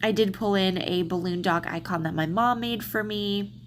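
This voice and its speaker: A woman's voice: medium pitch, normal speed, a little hiss or hum. A slightly congested woman with a Southern accent, chatting casually through a planner voiceover with lots of ums and restarts. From Texas, USA. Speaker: planner vlogger.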